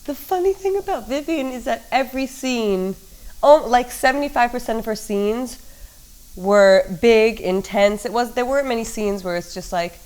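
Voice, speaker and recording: A woman actress talking casually in an interview, animated and full of fillers. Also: fairly high pitch, moderate pace, poor audio.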